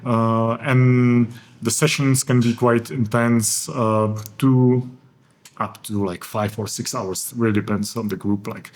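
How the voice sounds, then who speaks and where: A man with a Czech accent, a guest in a casual podcast interview, talking earnestly and enthusiastically with many pauses and fillers. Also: medium pitch, steady tone. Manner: earnest and enthusiastic, rambling with frequent hesitations. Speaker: guest, education program organizer. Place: conference venue.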